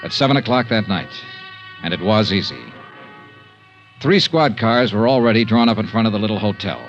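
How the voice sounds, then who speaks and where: A muffled old radio recording with faint music carries a low, world-weary detective, acted by a man. He narrates his case in clipped, hard-boiled fashion, with compassion under the toughness. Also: a little fast, natural voice. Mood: somber, weary. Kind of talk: 1950s radio detective drama acting.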